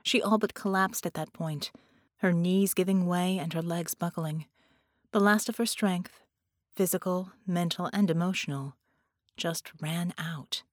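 A woman reading fiction as an audiobook narrator, with dramatic, deliberate and expressive phrasing. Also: fairly low pitch, no echo, studio quality.

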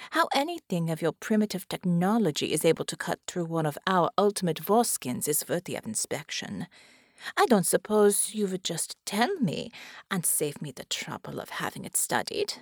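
A bored, haughty alien giantess commander, voiced by a woman narrator. She drawls with hushed, languid contempt, with lively swings in pitch. The sound is close and dry. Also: normal speed, dry recording. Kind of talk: audiobook narration.

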